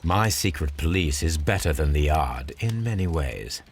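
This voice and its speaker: An actor playing a keen, confident middle-aged British gentleman detective in a video game, speaking formally and precisely. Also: extremely low pitch, expressive, moderate pace, soft background music. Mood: brisk, commanding. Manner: precise, formal, articulate, self-assured. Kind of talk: video game cutscene acting, deductive monologue.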